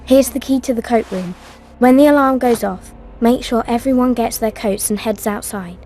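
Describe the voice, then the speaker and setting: A woman's voice: on the high side, moderate pace, dark tone, slight background noise, natural voice. An actress playing a brave young girl who talks in a decisive, conspiratorial way in a video game cutscene. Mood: determined.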